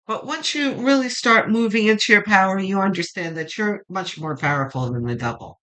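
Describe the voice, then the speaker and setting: A woman with a low voice that turns gravelly when quiet, slowly and reassuringly reading tarot cards and thinking aloud. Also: rough recording. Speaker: tarot reader.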